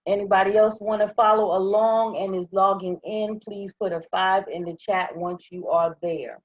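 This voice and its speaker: A hoarse woman leading a live online class, walking viewers through software step by step in an upbeat way and checking in often. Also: mid-range voice, steady tone, normal speed, dry recording, highs cut off. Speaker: online trading trainer. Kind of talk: live screen-share software walkthrough.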